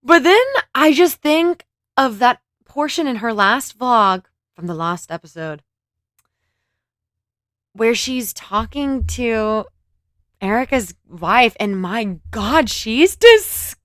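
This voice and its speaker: A woman delivers reaction commentary with sarcasm and laughter, her voice pitched a little high and swinging all over. The sound is close and dry. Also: animated, slow pace, a bit bright, no room sound. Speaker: commentary host.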